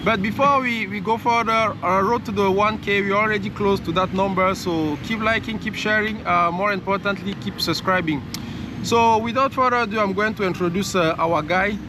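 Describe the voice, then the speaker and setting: A man's voice: high voice, moderate pace. A man hosting a casual vlog interview, rephrasing his questions as he goes. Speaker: host and interviewer. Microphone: handheld camera held by him. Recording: loud outdoor traffic noise.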